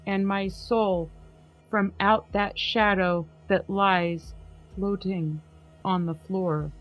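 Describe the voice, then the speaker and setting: A woman reads verse aloud with slow, deliberate emphasis, drawing each line out. The audio is dull and lo-fi, like a video call, with faint music beneath her voice. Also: medium pitch, steady tone. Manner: slow, emphatic dramatic reading. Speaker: podcast host. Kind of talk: dramatic poetry reading.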